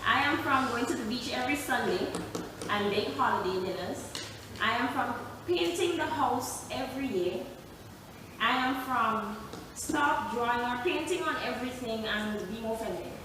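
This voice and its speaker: A woman reading her own list poem aloud slowly and deliberately. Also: a little high. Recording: echoey room, far from the mic, loud noise.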